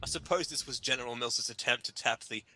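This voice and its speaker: A man reads a comic piece aloud with theatrical flair in a mid-range voice. He is performing for friends and is prone to breaking up as he goes. Music plays clearly behind him. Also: mid-range voice, fast, bright, forceful tone. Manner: theatrical, breaks into laughter while reading. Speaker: reader of a humorous article. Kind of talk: dramatic comedic reading among friends.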